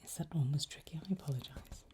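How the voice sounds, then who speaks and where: A woman doing an ASMR roleplay as an examiner, whispering in a breathy, husky voice with a gentle, reassuring, encouraging manner. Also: deep voice, quick pace.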